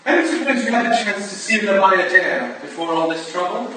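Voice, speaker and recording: Man acting flirtatious aristocrat, high swooping voice, florid period drama delivery, distant from mic. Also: expressive, fairly quick, sharp tone. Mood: smooth, boastful. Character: suave, flirtatious lord and boastful ballet patron. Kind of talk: theatrical staged reading of period drama. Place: staged reading in a large echoey room. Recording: echoey hall from far off, faint noise.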